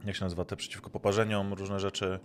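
A man with a deep voice, chatting casually as a player in a tabletop game. A little noise sits behind his voice. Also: flat delivery, fast. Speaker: role-playing game player. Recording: noticeable background noise.

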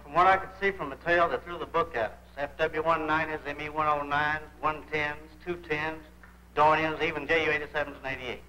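A man's voice: on the high side, fairly even intonation, fairly quick, bright, forceful tone, a little hiss or hum, poor audio. A man reporting as an airman in a military debriefing.

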